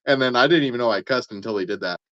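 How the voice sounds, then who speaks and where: A man's voice: medium pitch, rapid-fire, a bit bright, no echo. Hesitant man with dull dry sound. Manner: hesitant, self-deprecating storyteller. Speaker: guest. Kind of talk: casual chat. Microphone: off camera, remote call.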